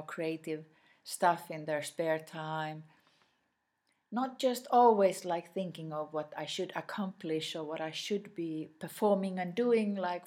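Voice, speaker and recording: A woman whose native language is Swedish, giving an unscripted inspirational talk straight to the listener in a reflective way, often pausing mid-phrase. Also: fairly low pitch. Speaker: inspirational speaker.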